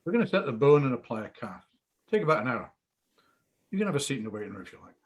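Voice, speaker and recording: A man reading the part of a calm, probing young doctor in a script read, brisk and matter-of-fact. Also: medium pitch, slightly rough. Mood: even, guarded. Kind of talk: actor's script table read.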